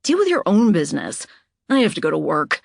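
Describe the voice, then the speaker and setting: A woman's voice: on the low side, very animated, brisk, vocal fry, no room sound, clean studio sound, heavily acted. A woman narrator playing a tough, defiant female bar worker with a blunt, combative manner.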